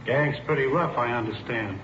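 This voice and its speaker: A plain-spoken police clerk played by a man talks methodically in a medium-pitched voice. The delivery is matter-of-fact 1940s radio acting. The old recording is dull, with clear background noise. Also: moderate pace, close to an everyday voice. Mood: businesslike. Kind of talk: 1940s radio drama dialogue.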